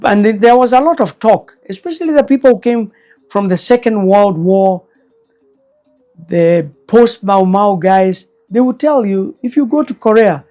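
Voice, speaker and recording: An older man, a guest elder recalling the past in slow, measured speech. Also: high-pitched, warm tone. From Kenya. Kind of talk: reflective storytelling interview.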